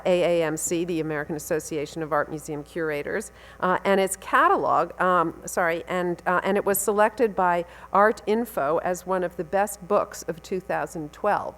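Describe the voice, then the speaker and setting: A woman's voice: on the low side, poor audio. A woman hosting a symposium session, reading a speaker's bio aloud with stumbles, fillers and wry asides. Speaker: symposium host introducing the speaker. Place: lecture hall at a symposium.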